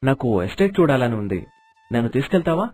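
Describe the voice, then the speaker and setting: Polite, earnest young landlord's son played by a man, mid-pitched husky voice, cartoon moral tale. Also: very animated, fairly quick, a little mellow. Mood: friendly. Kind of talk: cartoon moral story voice acting. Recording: dialogue over background music.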